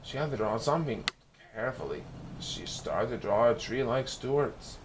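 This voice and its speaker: A man's voice: medium pitch, lively intonation, normal speed. Man reading a children's story, noisy recording. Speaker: storyteller reading a picture book. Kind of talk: read-aloud children's story narration.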